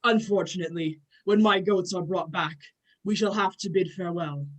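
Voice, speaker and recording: A woman's voice: lowish voice, moderate pace, close to an everyday voice. A woman playing a proud, boastful male thunder god in an amateur myth reading, pompous and declamatory. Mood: grand.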